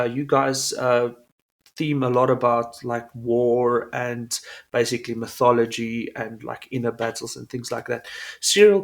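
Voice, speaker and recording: A man, an Afrikaans speaker, hosting a casual interview, animated and swearing freely. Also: medium pitch. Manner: animated, swears, acts out quotes. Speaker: podcast host.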